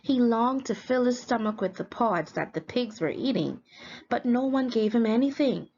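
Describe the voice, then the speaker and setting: A woman's voice: fairly high pitch, animated, moderate pace, a little mellow. A woman reading a scripted story aloud as a teacher for students, expressively and with feeling. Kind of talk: scripted read-along storytelling. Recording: dull lo-fi recording, faint background noise.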